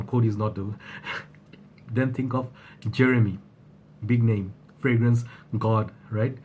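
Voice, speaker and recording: A man with a flu-husky voice, talking casually to his viewers and often rambling and restarting. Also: mid-range voice, a little mellow, a little hiss or hum. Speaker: fragrance reviewer. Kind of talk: casual vlog talk to camera.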